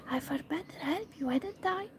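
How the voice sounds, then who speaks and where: A woman's voice: sits high, lively intonation, normal speed. A woman whispering the lines of a curious, polite young girl in an ASMR storybook reading. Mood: amused.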